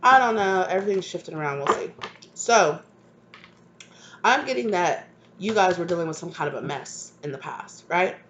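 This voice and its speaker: A woman's voice: lowish voice, animated, normal speed, a bit bright, dull audio, a little hiss or hum. Stuffed-up woman reading tarot. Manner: affectionate, repeats "right?" and "come on now". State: stuffed up from a cold. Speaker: tarot reader. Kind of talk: channeled tarot reading to viewers.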